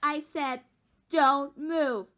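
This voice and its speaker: Audio play menace played by a woman: high, piercing, deliberate delivery, defiant and commanding. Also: steady tone, a bit bright, full character voice. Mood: threatening. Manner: commanding, slow, threatening.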